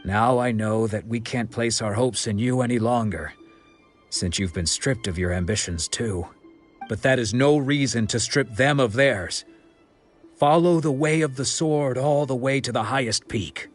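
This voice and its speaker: An actor playing a steady, forgiving former rival swordsman in a game, speaking in a measured, earnest way. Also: mid-range voice, faint music behind, natural voice.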